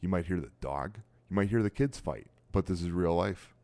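A middle-aged man hosting a casual podcast from his dining room, telling stories animatedly with loud bursts. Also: sits low, expressive, moderate pace. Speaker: podcast host.

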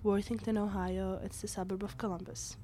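A woman's voice: medium pitch, level tone, brisk, mellow, slight background noise. A young woman, introducing herself as an exchange student. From Tunisia. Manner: simple self-introduction.

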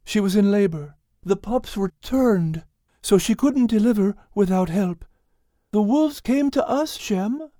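A woman playing a gentle, excited young wife in an audiobook Bible story. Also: mid-range voice, measured pace, no echo, studio quality, natural voice. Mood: earnest, excited. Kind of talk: audiobook acting.

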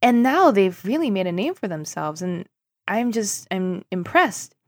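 A woman from Singapore chatting casually as a podcast guest, informal and animated in manner. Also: mid-range voice, a little slow, dry recording, clean studio sound. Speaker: podcast guest, tech journalist. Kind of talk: casual chat.

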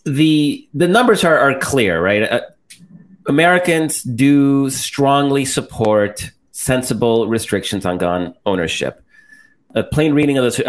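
Blunt male interview host. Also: mid-range voice. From El Salvador. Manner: blunt, pointed, with mild profanity. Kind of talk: political interview.

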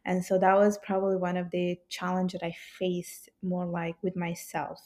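A woman's voice: lowish voice, completely flat delivery, normal speed, a little mellow. A woman from Italy, a podcast guest, talking in a thoughtful, hesitant way with many fillers. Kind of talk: casual interview conversation.

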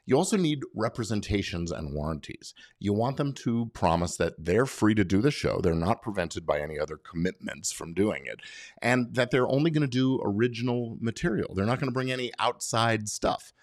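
A man with an American accent speaks in a lowish voice that rises and falls with lots of movement. He explains things as a podcast host would, conversationally and with emphasis, often posing questions to the listener. The sound is very dry, with almost no room in it. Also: lively intonation, normal speed, no echo. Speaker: podcast host and lawyer giving advice. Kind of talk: explanatory solo podcast monologue.

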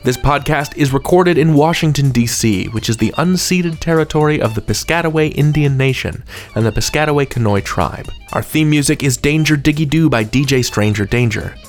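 A man's voice: medium pitch, moderate pace, faint music behind. A man hosting a podcast, reading a scripted outro and credits with playful asides. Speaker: podcast host.

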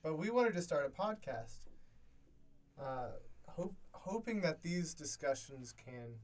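A man in his twenties co-hosting a casual podcast from a college dorm room, easygoing and quick to laugh, with many fillers. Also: on the high side, sing-song. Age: 20s. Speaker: podcast co-host. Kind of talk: casual chat.

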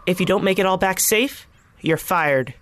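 A woman acting in an audio drama as a protective team boss with a deadpan, slightly awkward way of talking. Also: on the low side, moderate pace, lightly acted. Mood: worried, dry. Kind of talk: naturalistic fiction podcast acting.

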